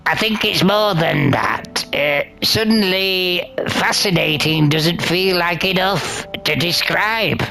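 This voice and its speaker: A woman voices a curious, easily awed traveler in a fantasy visual novel. The voice is very gravelly yet bright, with slow, hesitant, earnest phrasing. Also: on the low side, soft background music, heavily acted. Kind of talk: visual novel character dialogue.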